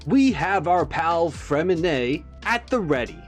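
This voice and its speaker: A man hosting a reaction video with casual commentary, stressing words theatrically and pausing for emphasis. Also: fairly high pitch, expressive, soft background music.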